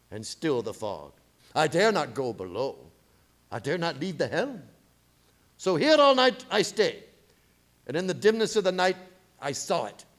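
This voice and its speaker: A man playing a grim, fearful foreign ship captain in a radio play, reading his log in clipped, halting broken English. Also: fairly high pitch. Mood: dread, resolute. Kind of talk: dramatic radio play narration.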